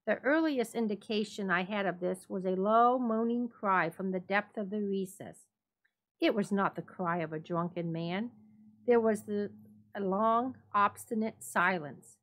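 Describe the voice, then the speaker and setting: A woman gives a dramatic reading of a written story. Her pitch sits in the middle range as she narrates from the script. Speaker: library staff story reader.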